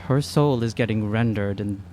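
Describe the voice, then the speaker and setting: A man's voice: fairly low pitch, moderate pace, dark tone. A man playing a tabletop role-playing game, talking and asking questions casually in character. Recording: faint hum, slight background noise.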